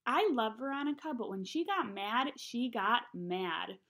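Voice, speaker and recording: Young woman reading a story out loud at home, higher voice, very lively. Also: a little slow. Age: young adult. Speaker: read-aloud reader. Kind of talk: storybook read-aloud narration. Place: small home room, indoors.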